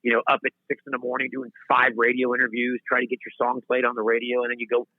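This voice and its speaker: A man's voice: mid-range voice, completely flat delivery, fast talker, no room sound. A man in his fifties, a singer-songwriter guest telling long stories in an interview, rambling and restarting his sentences. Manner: rambling, self-interrupting, laughs at himself. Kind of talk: storytelling interview chat. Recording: tinny phone-line audio.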